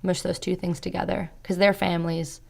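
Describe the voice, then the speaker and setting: A young woman talks in a low, gravelly voice full of fry, her pitch staying flat across long stretches. She speaks reflectively, with plenty of "like" and "you know". Her is Serbo-Croatian. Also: fairly quick. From Canada. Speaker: podcast guest. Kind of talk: casual interview chat.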